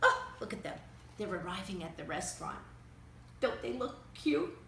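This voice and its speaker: A woman's voice: medium pitch, very animated, moderate pace, creaky, pushed and bright, full character voice. A woman playing a brash, opinionated, gushing storybook guest reader who chats in theatrical asides. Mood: doting. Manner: chatty, theatrical, interrupting with asides. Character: Selma, a brash, opinionated, Fancy Nancy-obsessed guest reader. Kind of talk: children's storybook read-aloud. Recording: roomy, distant mic with faint noise.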